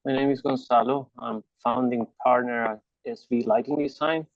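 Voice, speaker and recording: A man from Chile introduces himself over a video call, his voice gravelly and dry. He talks haltingly, restarting phrases often. The audio is dull and lo-fi. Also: medium pitch, fairly even intonation, no room sound. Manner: hesitant, many restarts and fillers. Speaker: lighting design firm partner.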